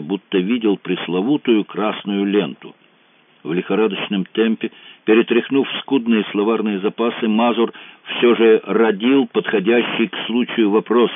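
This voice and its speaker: A man narrating an audiobook in an even, measured reading. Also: on the low side, no echo. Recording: dry, narrow phone-like sound.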